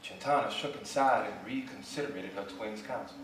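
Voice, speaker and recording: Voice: medium pitch, fairly quick. Stage reader, theatrical literary delivery, very reverberant live hall, slightly distant with faint noise. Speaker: reader in an ensemble stage reading. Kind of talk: theatrical literary reading aloud.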